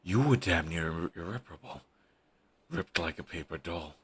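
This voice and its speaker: A man's voice: sits low, lively intonation, normal speed, breathy edge, full character voice. A young man playing a bitter, fiercely devoted male villain in an audio roleplay, talking in an intense, raw way and swearing often. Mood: grieving.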